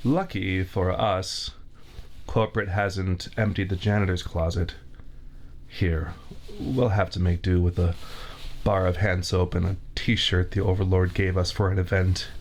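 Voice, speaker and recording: A man's voice: deep voice, flat delivery, a little hiss or hum. A man voicing a spiteful, fussy, laid-off veteran torturer in an ASMR roleplay, with formal, theatrical diction, recorded on a binaural mic. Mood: fussy, clinical. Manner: formal, theatrical villain diction, slow and deliberate. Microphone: 3Dio binaural mic.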